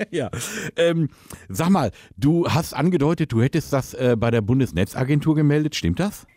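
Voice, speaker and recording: A man's voice: a little high, animated, moderate pace, dark tone, no echo, clean studio sound. A man talking casually and conversationally as the host of a prank call show.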